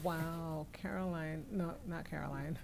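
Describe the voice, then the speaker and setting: A woman's voice: sits low, fairly even intonation, measured pace, vocal fry, darkish tone. A woman hosting a casual livestream from home, reading out viewer comments and chatting with her family, laughing often. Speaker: livestream host.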